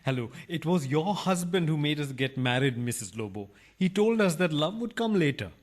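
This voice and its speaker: An actor playing a hapless, cheeky intruder posing as a husband in a radio farce, with a plaintive manner. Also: male voice, fairly high pitch, expressive, moderate pace.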